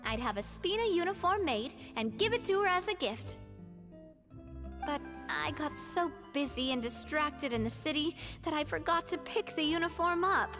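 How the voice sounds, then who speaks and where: Young woman boss, high voice, spirited, friendly, earnest, video game dialogue, background music. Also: lively intonation, normal speed. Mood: animated, earnest.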